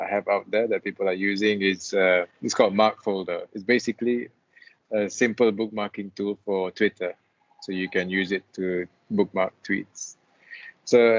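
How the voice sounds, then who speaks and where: A young man from Malaysia with a lowish voice answers interview questions over a video call. He talks in a relaxed, easygoing way, full of restarts and fillers. The call audio sounds dull and lo-fi. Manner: relaxed, hesitant with many restarts. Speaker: guest, freelance web developer. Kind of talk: casual interview chat.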